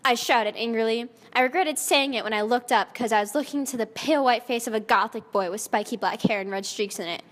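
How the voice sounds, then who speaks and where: A woman's voice: a little high, a little fast, few pauses, fairly bright tone. A woman narrating fan fiction in a mock-dramatic style, often close to giggling at the text. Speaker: narrator and reader. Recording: long echoing reverb.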